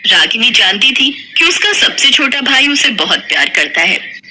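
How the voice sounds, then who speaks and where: Female folk-tale narrator, animated intonation, audibly noisy recording. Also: a little high, normal speed. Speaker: storyteller.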